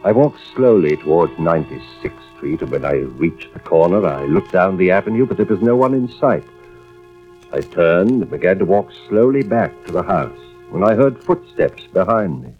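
Anxious brooding husband, deep voice, very expressive, hesitant, muffled 40s radio mystery sound. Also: male voice, normal speed. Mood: troubled. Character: troubled insurance investigator husband, brooding and anxious. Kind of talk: 1940s radio drama acting, first-person narration. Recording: dull vintage radio recording with music behind.

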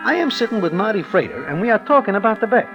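Wildly swooping, high-pitched Broadway wise guy. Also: big pitch swings, fast, muffled recording, over music. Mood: deadpan. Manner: formal slangy present-tense speech without contractions. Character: deadpan Broadway narrator, a streetwise man with formal slangy diction. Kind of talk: 1940s-50s radio drama acting.

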